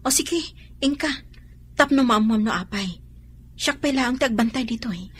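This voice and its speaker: A woman's voice: on the high side, moderate pace, slightly rough. A woman playing a cautious, doubtful shop co-worker with an Ilocano Filipino accent in a radio drama, full of questions. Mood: grumbling.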